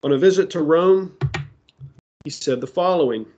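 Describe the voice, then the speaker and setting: A man preaching a sermon that ties prophecy to current events, speaking deliberately with side remarks, his voice giving out. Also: a little high, dark tone, dull audio. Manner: slow, deliberate delivery with asides. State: losing his voice.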